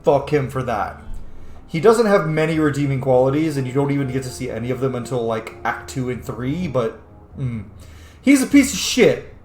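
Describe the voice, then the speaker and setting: A man's voice: medium pitch, sing-song, normal speed, darkish tone. A man giving casual let's-play commentary, blunt, opinionated and freely swearing. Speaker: gamer, streamer. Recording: echoey room with faint game music.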